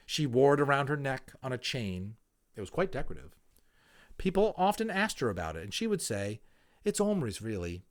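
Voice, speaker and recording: A man gives a dramatic reading of a children's story in a medium-pitched voice. His intonation is lively and swings widely. He is emphatic and theatrical, placing pauses deliberately as he narrates. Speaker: amateur audiobook reader.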